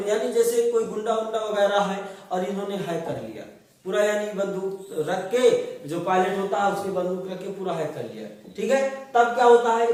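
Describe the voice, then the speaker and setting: A man's voice: high-pitched, a little mellow. A man teaching a class, explaining step by step and asking and answering his own questions. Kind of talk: classroom lecture. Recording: distant, very echoey room.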